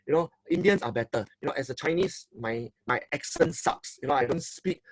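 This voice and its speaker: A man's voice: medium pitch, expressive, brisk, no room sound, rough recording. A middle-aged man from Singapore with a Singaporean English accent, giving an online seminar talk as a motivational speaker and coach. He speaks emphatically, repeats phrases and often ends sentences with "okay?". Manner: emphatic, repeats phrases, asks for likes and applause.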